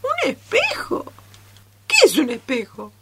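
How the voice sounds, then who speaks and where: A naive, tearful giraffe, played by a woman in a radio storybook. The voice is slow and plaintive, swooping dramatically up and down in bewilderment. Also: high voice, huge pitch swings, very noisy, full character voice. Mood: sad, bewildered.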